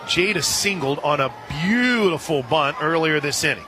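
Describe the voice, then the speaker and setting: A man doing live radio play-by-play of a softball game, slipping conversational asides in between pitch calls. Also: lively intonation, normal speed. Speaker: play-by-play announcer. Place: outdoor softball stadium broadcast booth. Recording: loud crowd and outdoor stadium noise. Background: crowd noise.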